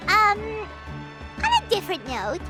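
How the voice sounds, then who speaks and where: A woman voicing a tiny, cheerful fairy companion in a video game, with a squeaky childlike voice and a perky, chatty manner. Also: huge pitch swings, a little slow, loud music behind the voice, full character voice. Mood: perky, excited. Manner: perky, exclamatory. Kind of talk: video game character acting.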